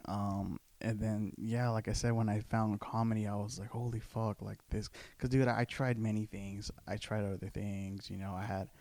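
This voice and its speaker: Casting: easygoing SoCal comic, deepish voice, dry close sound, swears freely. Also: male voice, flat delivery, moderate pace, hardly any pauses, a little mellow, no room sound. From Southern California. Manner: laid-back, profane, repeats himself. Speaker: guest comedian. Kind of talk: casual chat.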